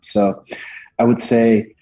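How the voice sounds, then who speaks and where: A man in his forties with a Dutch accent, a marketing guest talking business in a measured, hesitant way with many fillers and restarts. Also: mid-range voice, level tone, dark tone. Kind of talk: business interview, explaining. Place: office room, indoors. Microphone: earbuds on a video call. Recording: dull lo-fi video call audio.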